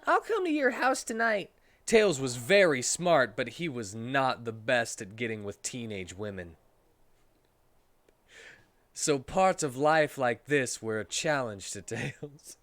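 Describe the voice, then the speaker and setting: A man's voice: medium pitch, expressive, takes it slowly, a bit bright, no echo. A man streaming a dramatic reading of comic fan fiction, narrating in a theatrical, mock-serious way.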